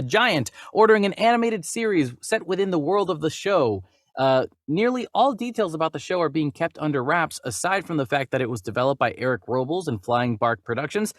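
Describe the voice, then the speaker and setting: A man's voice: on the high side, no echo, studio quality. A man co-hosting a movie news podcast, talking casually and conversationally, sometimes reading news items aloud. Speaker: podcast co-host and voice actor.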